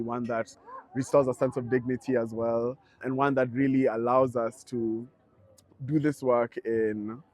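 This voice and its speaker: A young man, speaking in a thoughtful, measured and earnest way as he reflects in an interview. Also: medium pitch, a little mellow. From Kenya. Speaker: founder of an advocacy network.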